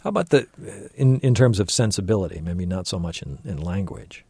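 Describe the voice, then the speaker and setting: A man hosting a call-in radio show, asking gentle, hesitant questions. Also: low-pitched, very animated, brisk, gravelly, husky, no echo. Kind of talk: radio interview.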